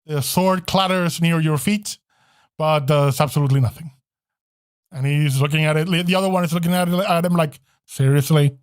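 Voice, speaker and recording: A man running a tabletop game as game master, narrating combat in a halting, self-correcting way. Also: fairly high pitch, smooth, no echo, clean studio sound.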